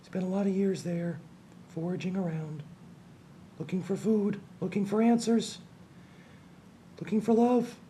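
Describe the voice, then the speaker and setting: A man telling a fairy tale slowly and deliberately, in a hushed storytelling manner. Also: sits high, slight background noise. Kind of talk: slow dramatic fairy-tale narration.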